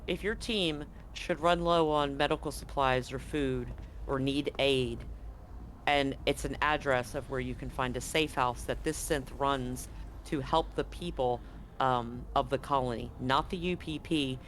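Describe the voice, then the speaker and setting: A man's voice: medium pitch, fairly even intonation, a little slow, a bit bright, a little hiss or hum. A man acting as game master, narrating a live tabletop roleplaying game and explaining things to players and audience.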